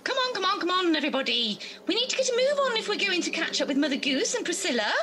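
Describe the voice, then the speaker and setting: A woman's voice: extremely high pitch, sing-song, fast. A woman playing a fussy, complaining, bossy fairy in a radio pantomime.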